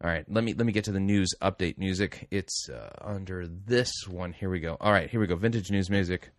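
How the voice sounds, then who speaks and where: A man's voice: low voice, a little fast, no room sound, studio quality. A middle-aged man hosting a talk show, reading news aloud with rambling, self-correcting, wry asides. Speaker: radio host. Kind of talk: casual talk show commentary.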